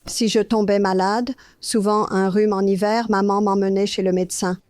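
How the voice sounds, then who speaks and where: An older woman from Australia tells her story as an interview guest, earnest and anecdotal. Her voice sits at an ordinary pitch and is recorded close and dry. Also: level tone, moderate pace, a little mellow, dry recording. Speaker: guest health educator.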